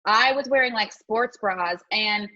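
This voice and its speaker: A woman's voice: mid-range voice, unhurried, pushed and bright. A woman, a former child actor, chatting casually at a reunion over a lo-fi video call. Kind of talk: casual chat. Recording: dull lo-fi video call audio.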